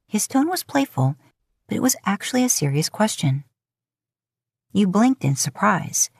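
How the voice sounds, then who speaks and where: A woman narrating a scripted romantic story as an expressive, intimate storyteller. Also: medium pitch, a little slow, creaky, dry recording, studio quality. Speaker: podfic narrator.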